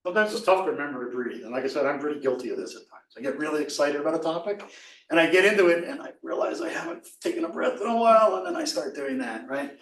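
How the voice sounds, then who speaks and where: A man with a slightly raspy voice and a fairly high pitch talks with enthusiasm, in a loose, conversational way. He sounds a bit distant from the mic, and his voice bounces around an echoey room. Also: animated, fast talker, a bit bright. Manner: enthusiastic, conversational, frequent "right?" asides. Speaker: presenter and instructor. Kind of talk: casual webinar talk.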